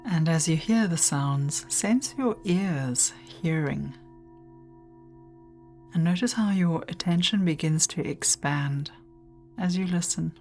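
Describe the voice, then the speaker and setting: A woman guides a meditation in a low voice, gentle and soothing. She leaves long, unhurried pauses between phrases. Music plays clearly behind her.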